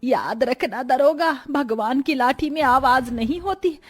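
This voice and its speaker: A woman voicing a poor, weary old grandmother in a cartoon moral story, plaintive and husky, yet defiant. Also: high voice, unhurried. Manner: lamenting, plaintive.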